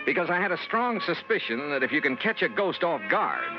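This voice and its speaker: An American actor playing a wry, confident hardboiled insurance investigator and narrator in a golden-age radio drama. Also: male voice, a little high, very animated, a little fast. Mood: wry narration. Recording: dull vintage radio recording with music.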